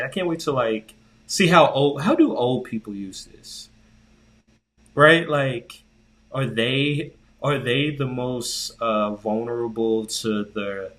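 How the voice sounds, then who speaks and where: A man co-hosting a podcast in a casual, halting way, thinking aloud and often repeating himself. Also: mid-range voice, fairly bright tone. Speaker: podcast co-host. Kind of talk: casual tech discussion.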